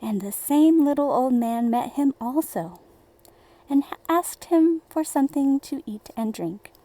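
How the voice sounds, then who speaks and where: A woman narrates a children's fairy tale in a fairly high, mellow voice. She takes her time, shaping each phrase with deliberate storybook expression. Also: animated, dry recording, poor audio. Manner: slow, deliberate, theatrical storybook delivery.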